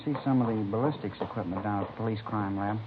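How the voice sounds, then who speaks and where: An actor playing an eager, nervous American high school boy, chatty, hesitant and over-curious, in 1950s radio drama style. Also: fast, narrow, tinny audio, natural voice. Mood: eager, fascinated. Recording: scratchy, band-limited vintage radio recording.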